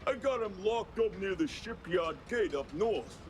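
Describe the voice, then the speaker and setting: A man voicing a blustering, cowardly small-time gangster in a 1930s-style cartoon game, shrill and tough-talking. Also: expressive, measured pace, a little hiss or hum. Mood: panicked, begging. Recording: music behind the voice.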